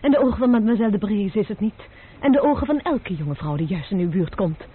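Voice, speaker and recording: A woman's voice: mid-range voice, animated, quick pace, slightly rough. An actress playing a stern, composed young female messenger in a radio play, with a commanding, urgent delivery. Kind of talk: vintage radio play acting. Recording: tinny lo-fi old radio broadcast.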